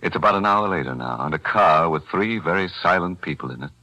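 Very deep dramatic radio narrator, man. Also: animated, sharp tone, poor audio. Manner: measured, dramatic. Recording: vintage 1940s radio broadcast.

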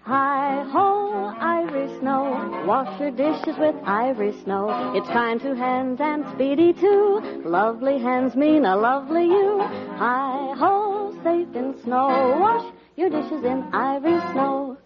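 High, slow woman jingle singer. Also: expressive. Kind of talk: sung 1940s radio advertising jingle. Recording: dull lo-fi 1940s radio recording. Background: clear jingle music.

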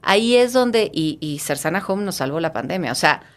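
A woman's voice: fairly low pitch, animated, moderate pace. A middle-aged woman with a Mexican accent, a guest in an interview, talking eagerly and often restarting or repeating her words. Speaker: guest, fitness studio founder. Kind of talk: casual interview talk.

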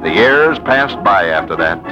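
A man hosting in a theatrical, emphatic 1940s radio style, with scripted narration and dramatic pauses. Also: low-pitched, animated. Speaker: radio host and storyteller. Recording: muffled old radio broadcast, faint music.

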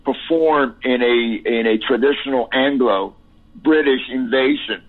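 A man in his sixties with a Cuban accent, interviewed as a musician, speaking haltingly with many repeated words and "you know" fillers. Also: medium pitch. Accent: Cuban Spanish. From Cuba. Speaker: musician guest. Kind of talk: interview over the phone. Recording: tinny phone line.

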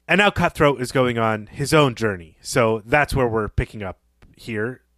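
A man's voice: mid-range voice, moderate pace, a bit bright, dry recording. Man in dry, close podcast sound. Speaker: podcast host. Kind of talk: conversational literary discussion.

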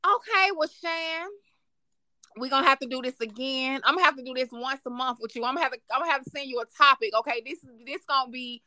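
An American woman hosts in a high, bright voice. She chats informally and emphatically, often saying a phrase twice. The recording is close and dry. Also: quick pace, dry recording. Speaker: podcast host. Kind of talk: casual interview chat.

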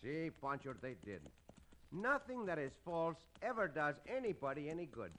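An actor playing a confident, gallant cowboy hero with measured, formal phrasing in an old-time radio western. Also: male voice, on the high side, big pitch swings, pushed and bright. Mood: warm, wry. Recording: hissy old radio recording.

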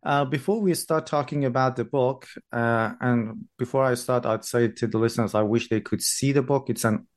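A man hosting an academic book interview, speaking formally with some hesitant fillers. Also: medium pitch. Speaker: podcast host.

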